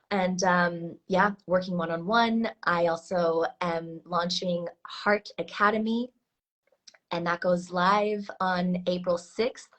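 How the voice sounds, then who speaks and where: A woman's voice: fairly low pitch, steady tone, slow, fairly bright tone. Earnest woman with an American accent. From Switzerland. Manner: earnest, many restarts and fillers, laughs often. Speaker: coach, guest. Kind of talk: casual interview talk.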